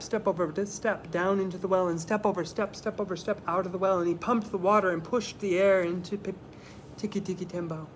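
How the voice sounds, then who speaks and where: A man reading a picture book aloud to children, with dramatic phrasing and simple explanatory asides. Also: high voice. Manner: dramatic phrasing, slowing for emphasis. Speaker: children's story reader. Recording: clear background noise.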